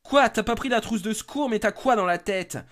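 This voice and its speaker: A man voicing an agitated forest rescuer in an amateur horror story, brisk, blunt and colloquial. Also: high voice, a bit bright, voice pushed up. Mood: alarmed, angry.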